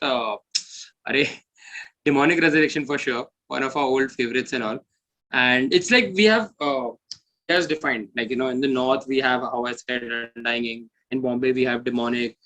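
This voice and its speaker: A man with an Indian accent and a medium-pitched voice chats as a guest musician in a casual interview. His delivery is informal and talkative, with a jokey streak. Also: normal speed, sharp tone, rough recording. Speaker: bassist in a death metal band, interview guest.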